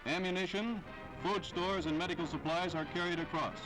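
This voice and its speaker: A man's voice: high voice, steady tone, brisk, rough recording. A man delivering scripted 1940s newsreel narration in a formal, declamatory way with dramatic phrasing. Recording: vintage 1940s newsreel soundtrack, loud music.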